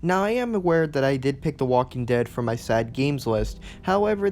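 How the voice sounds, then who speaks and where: Higher-pitched man narrating a countdown. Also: a little mellow. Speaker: video essay host.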